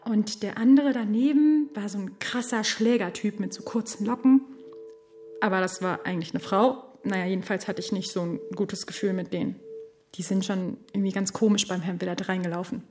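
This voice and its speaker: An actress playing a chatty, cheeky and loyal office assistant in a naturalistic radio drama, speaking colloquially and groping for words. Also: female voice, mid-range voice, a little fast, darkish tone, lightly acted. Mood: chatty, confiding.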